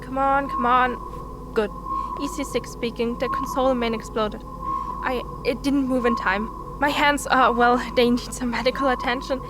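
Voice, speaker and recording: Woman acting an anxious high-voiced spaceship crew member. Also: fairly even intonation, noisy background, close to an everyday voice. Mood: urgent, anxious. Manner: worried, halting, self-correcting. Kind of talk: audio drama acting, spoken log.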